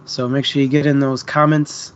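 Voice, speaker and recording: A man with a mid-pitched voice co-hosts a book stream. He speaks in a laid-back, slangy, chatty style. The sound is a little dull and muffled. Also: level tone, moderate pace, slight background noise. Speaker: co-host of a book discussion stream. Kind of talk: casual chat.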